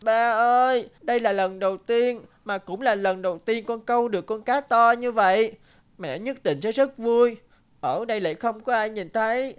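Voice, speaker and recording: A woman's voice: a little high, moderate pace, smooth, lightly acted. A woman voicing a hesitant, pleading young boy in a cartoon. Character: pleading young boy out fishing with his father. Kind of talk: cartoon dub acting.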